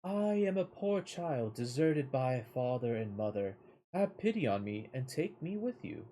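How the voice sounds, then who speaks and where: A man reading the lines of a resolute, shrewd young princess in a storybook tale, in measured phrases. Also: medium pitch, sing-song, dark tone. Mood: pleading.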